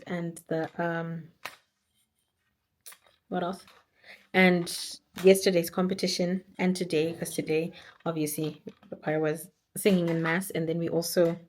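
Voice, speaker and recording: A woman in her twenties with a Zimbabwean accent chatting casually to her camera at home, rambling with many fillers, her naturally deep voice tired and strained from singing. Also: darkish tone. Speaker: vlogger. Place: at home, indoors. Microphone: camera or phone on a stand.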